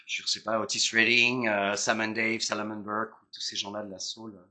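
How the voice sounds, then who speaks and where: A man's voice: on the low side, little pitch movement, moderate pace, sharp tone. A middle-aged man talking as a guest in a casual interview, passionate and talkative, often repeating words and digressing. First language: French. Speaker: interview guest, musician, singer and teacher.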